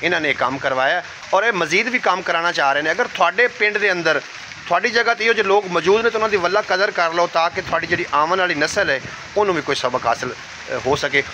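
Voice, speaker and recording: A man's voice: sits high, brisk. Man, Punjabi accent, village vlogger, selfie phone mic, noisy outdoor recording. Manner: raises voice to address viewers, speaks softer while walking. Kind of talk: village vlog with interview. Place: outdoors in a village, light rain. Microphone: phone held at arm's length, selfie style.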